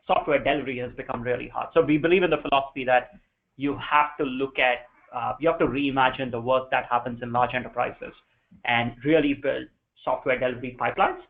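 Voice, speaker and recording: A man gives an informal presentation as a guest speaker. He talks at a middle pitch and builds his points through emphatic, repeated phrases. The recording is tinny and narrow, like a phone line. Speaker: guest speaker, DevOps consultant.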